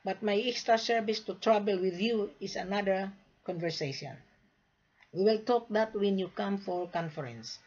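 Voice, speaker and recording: A woman born in the Philippines lectures would-be buyers in a forceful, repetitive way, hammering home each warning. Her voice is a little husky and sits in a middle pitch. The recording sounds dull and lo-fi. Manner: emphatic, lecturing, repeats points. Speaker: gold sales agent. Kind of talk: direct-to-camera sales talk.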